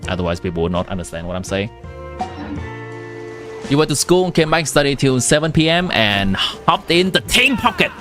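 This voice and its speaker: A man's voice: medium pitch, big pitch swings, normal speed. A young man from Vietnam, a native Vietnamese speaker with a Vietnamese accent, chatting casually on a live game stream and answering viewers while giving game advice. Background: game music.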